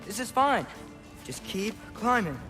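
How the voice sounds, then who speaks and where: A man's voice: high-pitched, very animated, unhurried. A man voicing a plucky, wry young male apprentice in a video game, with music behind. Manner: wry, dry, self-talking. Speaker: game character. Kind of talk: video game cutscene acting.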